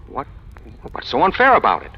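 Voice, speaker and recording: A man's voice: medium pitch, wildly swooping pitch, brisk, breathy edge, exaggerated voice. An American man playing a cynical, self-assured racketeer in a 1940s radio crime drama, with wry, slangy tough-guy talk. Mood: cynical, needling. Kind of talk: 1940s radio crime drama acting, first-person narration and dialogue. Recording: muffled old radio recording, music.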